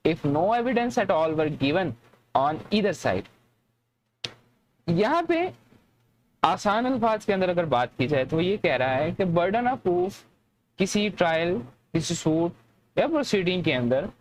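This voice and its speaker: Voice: medium pitch, slight background noise, rough recording. A lecturer with a Pakistani accent, explaining methodically in a teaching style and mixing in English terms. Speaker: law lecturer.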